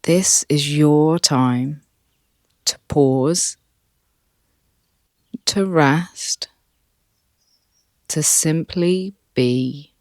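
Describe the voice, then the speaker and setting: A meditation guide speaking calmly and softly, leading a relaxation session with long pauses. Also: medium pitch, dry recording. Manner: calm, soft, with long pauses.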